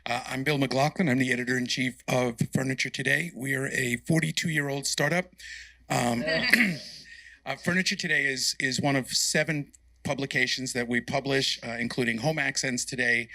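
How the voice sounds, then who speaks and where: A man introducing himself as a magazine editor-in-chief on a trade show panel, wry and relaxed. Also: mid-range voice, normal speed, creaky, no room sound. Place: conference panel.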